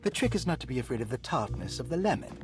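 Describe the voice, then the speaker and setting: An actor playing a suave, evasive doctor in a video game, speaking with measured, condescending politeness. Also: male voice, mid-range voice, sing-song, music in the background, lightly acted. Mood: evasive, courteous. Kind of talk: video game cutscene acting.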